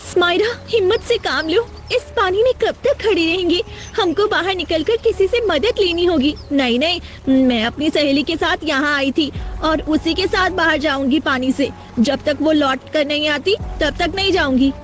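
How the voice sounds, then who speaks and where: A woman's voice: very high-pitched, normal speed, hardly any pauses, soft background music. A woman voicing an anxious, determined young village woman in a cartoon, earnest and dramatic. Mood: urgent, determined.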